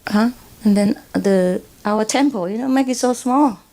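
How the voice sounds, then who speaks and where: A woman's voice: on the high side, measured pace, dark tone. A woman with a Vietnamese accent gives a loose, rambling spiritual talk, often checking in with her listeners and chuckling as she goes. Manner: rambling, with frequent checks like "you know" and "huh?"; chuckles often while talking. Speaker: spiritual teacher. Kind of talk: informal spiritual lecture.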